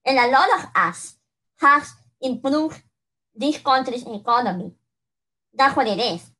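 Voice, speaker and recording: Bright-voiced woman from Peru. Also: high voice, animated, unhurried, low-quality recording. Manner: earnest, many restarts and "you know". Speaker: podcast co-host. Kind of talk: casual conversation.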